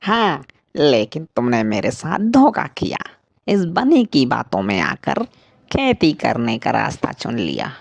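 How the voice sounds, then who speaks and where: A sly cartoon crow acted by a woman, greedy and resentful. He has a gravelly, creaky voice that swings wildly and lingers on his theatrical exclamations. The pace is slow and the sound dry. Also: very deep voice, huge pitch swings, no echo, exaggerated voice. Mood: bitter, resentful. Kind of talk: Hindi cartoon moral-story acting.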